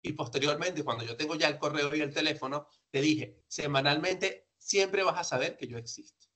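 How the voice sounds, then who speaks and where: Bright-voiced man giving sales training. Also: on the high side, normal speed. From grew up outside Peru, now lives there. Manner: conversational, emphatic, asks and answers his own questions. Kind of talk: informal instructive presentation to entrepreneurs.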